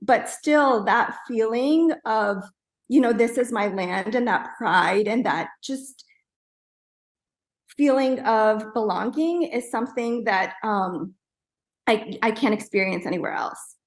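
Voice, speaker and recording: A woman's voice: a little high, animated, slow. Earnest woman designer in an echoey room. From Afghanistan. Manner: earnest, many fillers like "you know" and "um". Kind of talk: reflective storytelling in an interview.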